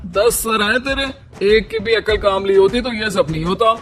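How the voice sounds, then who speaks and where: Performer playing monkey warrior god, mid pitch, bold, sarcastic, theatrical cartoon delivery, music behind. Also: normal speed, fairly bright tone, close to an everyday voice. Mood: taunting. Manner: taunting, theatrical. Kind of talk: Hindi cartoon comedy mythological dialogue.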